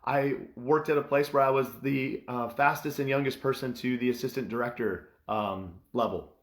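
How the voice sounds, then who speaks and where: Scratchy hoarse guy hosting a podcast, earnest and excited, lots of uh and um. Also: medium pitch, moderate pace. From Midwestern United States. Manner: earnest, enthusiastic, with frequent fillers and restarts. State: hoarse, recovering from lost voice. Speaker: podcast host and mentor. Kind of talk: unscripted casual podcast talk.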